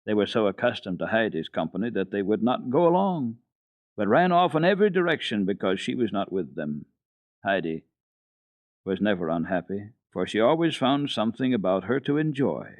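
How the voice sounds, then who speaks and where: A man giving an unhurried, expressive dramatic reading of a classic children's book for radio, with the odd hesitation. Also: on the low side, no echo, muffled recording. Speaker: storyteller on a children's radio program.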